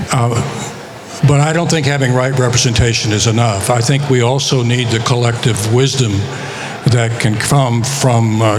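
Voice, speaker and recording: A man from the United States speaks at a conference session while he is losing his voice. He talks in a measured way, with frequent pauses and filler words, at an ordinary pitch. A clear hum of room noise sits under him. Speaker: author. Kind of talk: conference talk.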